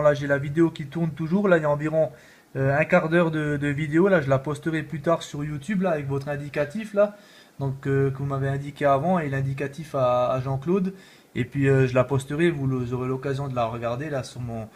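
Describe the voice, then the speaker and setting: A man's voice: fairly high pitch, darkish tone. A man chatting casually as an amateur radio operator, rambling along with repeated filler tags. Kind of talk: casual radio contact chat.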